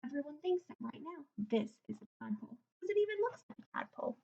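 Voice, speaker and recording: A woman's voice: high-pitched, big pitch swings, quick pace, creaky, warm tone, dull audio, a little hiss or hum. A woman reads a picture book aloud and chats in between, explaining things simply as if to young children. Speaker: read-aloud host. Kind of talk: picture book read-aloud with chatty asides. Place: indoors at home, sitting on the floor.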